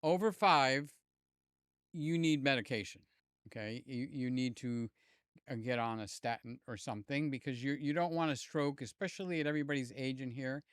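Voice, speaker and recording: An older man hosting a live stream, chatting loosely with viewers, his voice slightly hoarse. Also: mid-range voice, moderate pace, dry recording, studio quality. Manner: rambling, jokey, talks to chat by name. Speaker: livestream host. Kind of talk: casual live chat with viewers. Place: home room with fans and air conditioner. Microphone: desk mic near the mouth.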